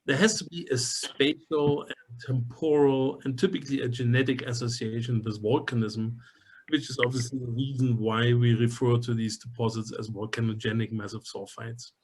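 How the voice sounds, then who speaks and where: A man from Germany with a heavy German accent giving a technical guest lecture, explaining things in a measured way with frequent filler sounds. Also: medium pitch. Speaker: guest lecturer, geology professor.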